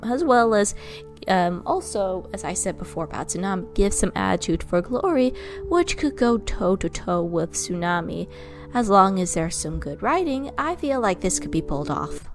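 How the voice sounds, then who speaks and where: Woman narrating casual fan commentary over music. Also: lowish voice. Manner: rambling, stumbles over names. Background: background music.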